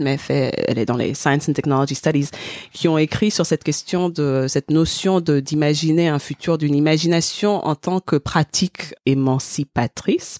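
A woman's voice: deep voice, a little mellow, no room sound. Thoughtful woman co-host, dry close mic. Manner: thoughtful, explanatory, pauses to rephrase. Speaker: podcast co-host. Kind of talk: casual conversational podcast.

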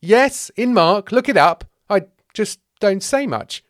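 A man's voice: high voice, expressive, slow pace, a bit bright, no room sound, clean studio sound, pitched above the performer's own voice, full character voice. A man voicing an eager, overlooked male disciple with an emphatic, chirpy manner in a comic scripture parody. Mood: defensive.

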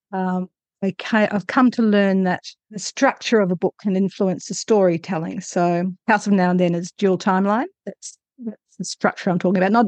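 A woman's voice: fairly low pitch, a little mellow, no room sound. A woman answering interview questions as an author, conversational, with thoughtful and hesitant phrasing. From Australia.

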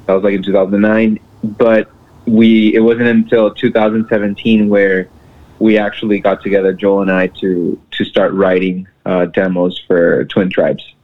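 A man from Mexico, a band's singer and guitarist, answering interview questions in a relaxed way with many restarts. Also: lowish voice, normal speed. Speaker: band vocalist and guitarist. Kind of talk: casual interview. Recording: tinny phone line.

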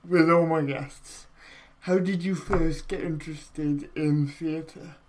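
A man hosting an interview and asking his guest unhurried, drawn-out questions. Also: fairly high pitch, fairly even intonation. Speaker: interviewer host.

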